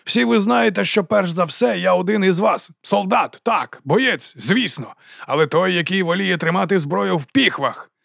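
Man acting a flamboyant, high-pitched mercenary captain, orating. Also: animated, moderate pace, vocal fry, fairly bright tone, dry recording, clean studio sound, exaggerated voice. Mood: wry, rousing. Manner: theatrical, self-mocking, grandiose orator. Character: flamboyant, roguish old mercenary captain rallying his troops. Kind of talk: audiobook character acting.

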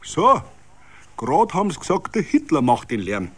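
A bitter Bavarian tradesman, acted out by a male reader, grumbles indignantly in thick dialect. His pitch jumps about freely as he hammers each point home in a tavern scene from a radio play. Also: wildly swooping pitch, unhurried, fairly bright tone, slight background noise, full character voice. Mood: scornful.

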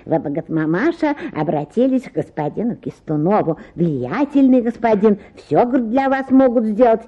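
An actress playing an older, stubborn and plaintive petitioner in a theatrical radio play, whining and insistent. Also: female voice, on the high side, animated, moderate pace, lo-fi sound. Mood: pleading, insistent. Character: pushy, plaintive petitioner, older mother-in-law, obtuse, stubborn.

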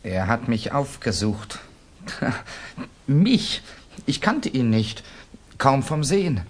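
An actor playing a tormented, anxious young Russian student in a 1960s German radio play, brooding and questioning himself in a husky, creaky voice. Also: male voice, medium pitch, sing-song, slow pace. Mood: tense, halting. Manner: brooding self-questioning, bursting into outcries.

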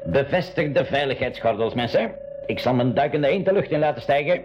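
Reassuring adventurer uncle played by a man, very lively intonation, instructive, radio play, dull recording. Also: medium pitch, fairly quick, background noise, lightly acted. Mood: commanding. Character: adventurous, reassuring uncle piloting his own flying amphibious craft. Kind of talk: 1980s school radio drama acting.

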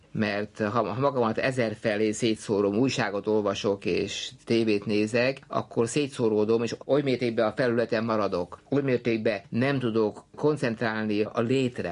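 A man speaking as a researcher in thoughtful, articulate, reflective philosophical talk on an archive recording. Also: lowish voice. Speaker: social researcher.

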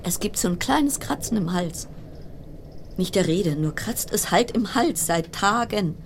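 An actress playing an uneasy, sardonic cruise ship passenger, narrating a German radio play in a wry, insistent way. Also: female voice, medium pitch, expressive, measured pace. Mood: brooding. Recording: clear background noise and ambience.

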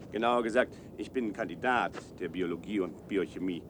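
Male actor as awkward young researcher, lower-pitched, earnest, hesitant, sci-fi radio play, noisy rumbling recording. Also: moderate pace, close to an everyday voice. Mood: flustered. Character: awkward young male biochemistry doctoral student in protective suit. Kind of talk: German science-fiction radio drama acting.

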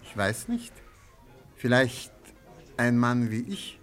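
Elderly, courteous, shrewd gentleman. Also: mid-range voice, very animated, soft background music. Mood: courteous, sly. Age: older. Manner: formal, measured, politely ornate. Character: elderly, courteous, shrewd antiques dealer and astrologer. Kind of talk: German radio play acting.

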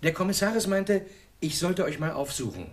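Lively young detective. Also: male voice, high voice, a little fast. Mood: polite, wry. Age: young adult. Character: polite, eager young police detective. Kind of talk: German radio crime drama acting.

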